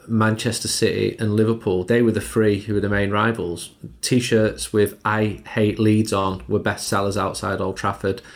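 Casting note: middle-aged man, lowish voice, measured clipped delivery. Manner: measured, clipped historical points. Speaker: podcast guest. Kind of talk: casual chat.

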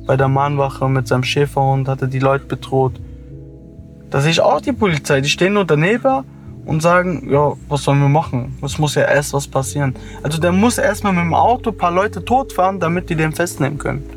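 A man's voice: a little high, brisk, faint music behind. A young man from an Afghan family speaking bluntly and emotionally in a casual conversation while riding in a car. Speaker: interviewee. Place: in a car at night.

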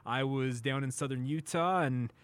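A man's voice: mid-range voice, normal speed, clear, resonant tone. A man hosting a sports radio show in an upbeat, informal, chatty way. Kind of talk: casual sports talk radio chat.

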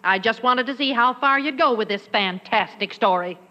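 Bright, high-voiced sceptical wife. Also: female voice, normal speed. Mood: scolding. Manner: sarcastic, challenging. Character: sceptical, sharp-witted, scolding housewife and mother. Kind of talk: 1940s radio comedy acting. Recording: reverberant, dull old radio recording.

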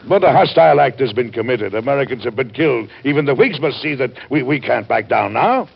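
A kindly, overworked president in an old-time radio drama. His voice is creaky and his manner earnest and formal. The recording is muffled, with faint noise. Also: mid-range voice, lively intonation, a little fast. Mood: firm, confident.

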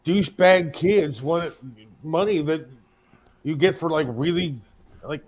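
A man's voice: on the high side, unhurried, highs cut off. An American man chatting casually as a talk show co-host, profane and given to complaining. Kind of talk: casual chat.

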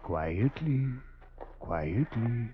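An actor playing a sly, quietly ominous fortune-teller in an old radio drama. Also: male voice, mid-range voice, sing-song, slight background noise. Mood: sinister, hushed. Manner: slow, incantatory, archaic dialect. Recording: dull, lo-fi vintage radio recording.

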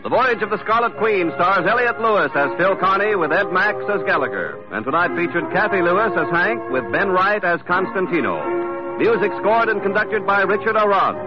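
A man's voice: high-pitched, lively intonation, brisk. Male American announcer, formal old-time radio credits read, muffled recording over music. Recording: dull vintage radio recording, music behind.

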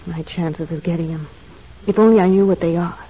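A woman playing an anxious, lovesick prisoner in an old-time radio soap, with a husky, mellow voice. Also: lowish voice, brisk, low-quality recording. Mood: anguished longing.

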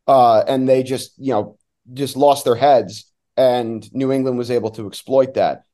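A man who works as a sports announcer, giving casual, somewhat halting analysis as a guest on a talk show. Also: medium pitch, steady tone, mellow.

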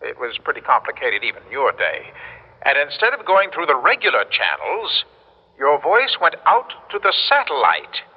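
A man acting in a classic radio drama as an excitable, desperate caller from the future, pleading and stammering urgently. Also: medium pitch, moderate pace, breathy edge, heavily acted. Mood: earnest explaining. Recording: dull vintage radio recording, long reverb.

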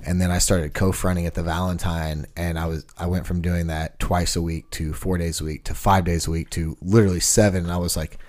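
A man with a low voice talks in a lively, storytelling way. He acts out the lines of the people in his stories. The chat is casual and quick. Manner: animated storyteller, acts out quotes.

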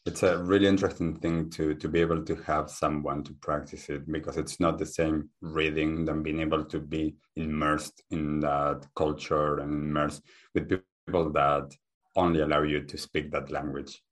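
A man from Argentina with a hard-to-place mixed accent, speaking as a podcast guest in a soft, measured, reflective way. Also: very deep voice, level tone. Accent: mixed, hard to place, Argentine-Spanish base. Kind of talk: calm interview talk.

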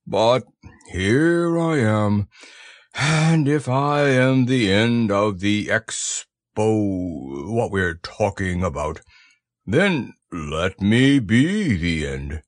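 A storybook donkey, old and full of grievance. The voice sits low and drags very slowly, swinging through weary, ponderous complaints with gloomy resignation. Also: male voice, big pitch swings, dry recording, clean studio sound, exaggerated voice. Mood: gloomy, aggrieved. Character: gloomy, grumbling, slow-spoken old donkey.

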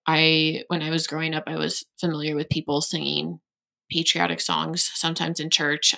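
A woman hosting a podcast, asking a guest a question calmly and conversationally. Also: deep voice, vocal fry. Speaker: podcast host. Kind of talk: interview question.

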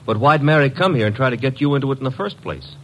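A man's voice: mid-range voice, expressive, fast talker, fairly bright tone, close to an everyday voice. An actor playing a wisecracking, brash detective's legman in an old radio drama, wry and colloquial. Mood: exasperated, curious. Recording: noisy vintage radio drama recording.

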